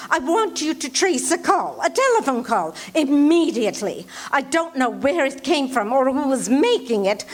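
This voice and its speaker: A woman acting a high-strung, imperious wealthy lady in a live radio play, urgent and breathless. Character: anxious demanding wealthy woman, invalid. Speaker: radio drama actor. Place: RV resort hall before a live audience.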